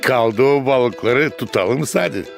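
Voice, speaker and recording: An actor playing a patient grandfather in a cartoon, telling stories in a gentle, unhurried way. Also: medium pitch, vocal fry, faint music behind. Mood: warm. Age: older. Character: kindly grandfather figure, patient elder. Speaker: grandfather character. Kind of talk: cartoon voice acting.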